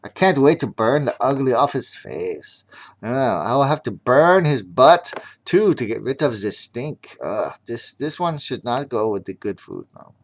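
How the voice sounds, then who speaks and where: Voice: medium pitch, big pitch swings, a little slow. A storyteller voicing a cruel woman chef who sneers in disgust.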